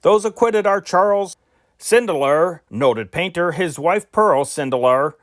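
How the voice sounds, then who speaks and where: A man's voice: fairly high pitch, fairly bright tone. Man reading old newspaper dispatches. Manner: amateur, measured reading with occasional slips and self-corrections. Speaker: news reader.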